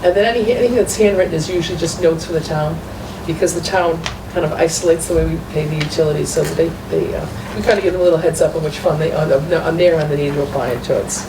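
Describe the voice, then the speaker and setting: A woman's voice: low voice, fast. A woman presenting a financial report at a school committee meeting, walking the members through documents step by step. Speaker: school business manager. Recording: echoey far-off room mic with noise.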